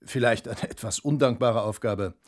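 An actor playing a formal, businesslike trainer at an agency in a radio drama, speaking in a measured way. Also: male voice, mid-range voice, dry recording, clean studio sound, close to an everyday voice.

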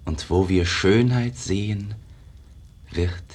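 An actor playing a dreamy, reverent disciple of a great painter in a 1950s radio verse drama, declaiming solemnly in standard stage German. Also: male voice, low-pitched, a little mellow. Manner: solemn, lyrical, measured. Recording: slightly muffled 1950s radio recording.

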